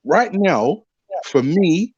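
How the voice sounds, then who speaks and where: A man on an online panel debate, speaking slowly and deliberately with a lively, swinging pitch. The call audio is dry and lo-fi. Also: husky, dry recording.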